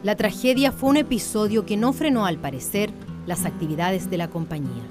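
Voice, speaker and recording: A woman's voice: mid-range voice, brisk. A woman narrating a radio drama in a literary, scripted style. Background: plucked guitar music.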